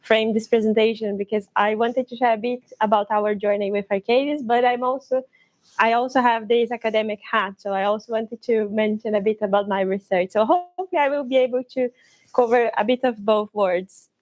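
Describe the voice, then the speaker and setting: A woman from Brazil in her thirties with a Brazilian Portuguese accent, presenting at a conference with some halting restarts. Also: fairly high pitch, fairly even intonation. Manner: slightly halting, with restarts and fillers.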